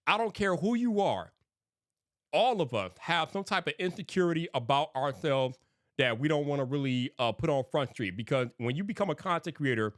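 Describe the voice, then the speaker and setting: A man's voice: on the high side, fairly bright tone, no echo, studio quality. A man with a lisp, talking earnestly and casually to his livestream chat as a tech creator. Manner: earnest, rambling, sometimes hypes viewers. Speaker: tech YouTube creator live streaming.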